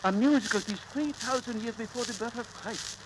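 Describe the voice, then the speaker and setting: A man playing an excitable, imperious French archaeologist with a French accent, theatrical and exclamatory in the style of 1930s radio drama. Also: very high voice, a little fast. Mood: awestruck. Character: excitable, awestruck male French archaeologist, imperious with his assistant. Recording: crackly 1938 radio transcription disc.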